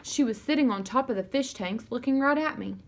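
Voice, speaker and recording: A woman reading a children's novel aloud as narrator, in an expressive storytelling style. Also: on the high side, brisk.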